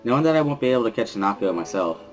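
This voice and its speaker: A man's voice: lowish voice, expressive, very fast. A man giving a dramatic scripted reading as narrator, with music playing behind him.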